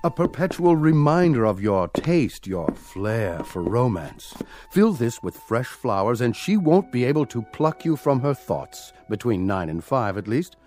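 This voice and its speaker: An actor playing a smooth, flattering shop salesman with flowery, persuasive patter in a radio drama. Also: mid-range voice, measured pace, faint music behind.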